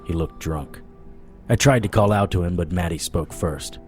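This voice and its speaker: Low-voiced hard-boiled investigator played by a man. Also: normal speed, a little mellow, soft background music, lightly acted. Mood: tense, narrating. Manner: hard-boiled, dramatic storytelling. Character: haunted, dogged supernatural investigator narrating his nightmare. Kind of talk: first-person audio drama narration.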